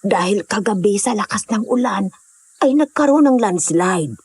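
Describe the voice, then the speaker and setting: A woman's voice: mid-range voice, expressive, moderate pace, a little mellow, husky, no echo. Woman as a motherly older mountain host, dry sound. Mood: cautioning. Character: hospitable older mountain woman hosting stranded hikers. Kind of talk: audio drama acting.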